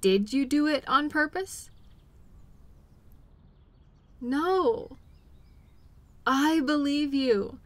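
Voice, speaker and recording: A woman playing a slow-talking, caring girlfriend. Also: a little high. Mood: soothing. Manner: gentle, reassuring, unhurried. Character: caring, patient girlfriend soothing an upset partner. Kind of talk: intimate role-play audio.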